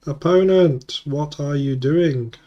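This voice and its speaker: A man's voice: on the high side, slow pace, muffled recording. A man with a cold and a sore throat, muttering casual play-by-play commentary as he plays an online card game and thinks aloud. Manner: muttering, self-correcting play-by-play.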